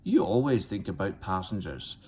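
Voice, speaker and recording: A man's voice: deep voice, sing-song, normal speed, darkish tone, voice pushed down. A sulky storybook engine, put on by a man, full of grievance. He grumbles and mutters stubbornly in a swinging, complaining tone. There is a faint background noise. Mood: grumbling.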